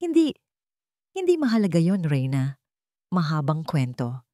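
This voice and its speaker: Woman voicing a quiet, guarded young man. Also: sits low, wildly swooping pitch, measured pace, dry recording, studio quality, full character voice. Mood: evasive. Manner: hesitant, concerned. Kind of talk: Tagalog radio drama storytelling.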